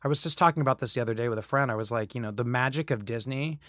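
A young man from Florida, a guest on a podcast, chatting casually with quick, wry humor in a studio booth. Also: medium pitch, fairly bright tone, no room sound. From Florida, USA. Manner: quick-witted, wry, dry jokes. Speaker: podcast guest, stage actor. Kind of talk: casual chat. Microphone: studio microphone.

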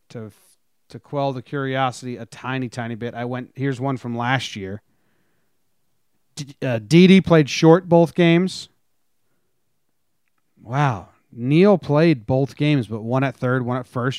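A man's voice: medium pitch, unhurried, clean tone, no echo, clean studio sound. A man co-hosting a sports podcast, talking casually and informally as a fan. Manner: informal, conversational. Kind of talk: casual chat, fan discussion.

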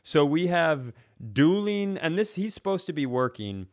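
A man with a clear, smooth voice and a dry, close sound. He tells stories casually as a comedy podcast host, in animated bursts broken by pauses. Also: on the high side, no echo. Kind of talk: casual comic storytelling.

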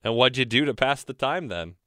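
Calm male interviewer, smooth and resonant, dry close sound. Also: mid-range voice, a little fast, no echo. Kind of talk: interview questions.

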